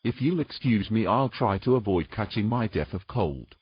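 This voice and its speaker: A man playing a weary, gruff knight with dry, teasing humour in a romantic fantasy audio drama. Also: on the low side, expressive, normal speed, no echo, dull audio. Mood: tired, irritated.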